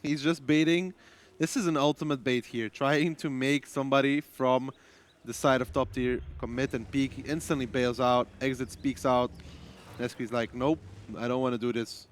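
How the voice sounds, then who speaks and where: A man calling a live esports match, with quick teasing banter and brisk play calls. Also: medium pitch, fairly even intonation.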